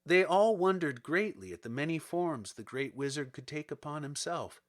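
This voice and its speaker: A man reading a storybook aloud as narrator, in an expressive, deliberate manner. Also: a little high. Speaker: audiobook narrator.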